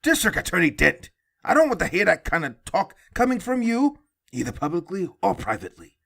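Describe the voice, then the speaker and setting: A man plays a harried middle-aged police commissioner in an audio drama. He is stern and world-weary, pushing his words out emphatically with a lively, swinging pitch. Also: fairly quick, creaky, full character voice.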